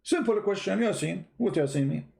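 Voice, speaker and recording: A man speaks in a soft-edged, mellow voice that sits a little high for a male. His English has grammar. He argues informally as a live-stream host, repeating himself and throwing out mocking, challenging questions. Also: lively intonation, normal speed, creaky. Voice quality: non-native English grammar.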